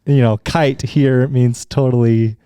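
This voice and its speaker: An easygoing young man from Utah chatting as a guest, agreeable and a little hesitant. His voice is smooth and mellow, and the recording is close and dry. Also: mid-range voice, steady tone, takes it slowly, dry recording. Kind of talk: casual chat.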